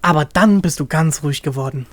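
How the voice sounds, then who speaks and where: A man's voice: a little high, fairly quick, dry recording, natural voice. A man voicing a carefree, silly young male vampire in a story, chatty, exclamatory and a little whiny. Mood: relieved, chatty.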